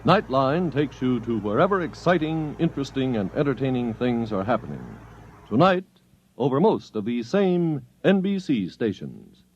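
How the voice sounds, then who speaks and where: A male promo announcer of 1950s radio with a medium-pitched voice. The old recording is muffled, with faint noise. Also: sing-song, moderate pace.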